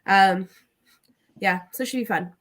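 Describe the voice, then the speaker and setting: Congested woman with a bright voice. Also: medium pitch, measured pace. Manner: chatty, laughs easily. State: congested, blocked nose. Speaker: panelist. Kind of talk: casual chat.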